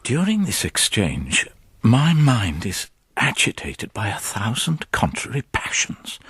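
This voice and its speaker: An actor playing a troubled monk who narrates events in hushed, dramatic style for a gothic radio play. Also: male voice, lowish voice, slightly rough, no echo, heavily acted.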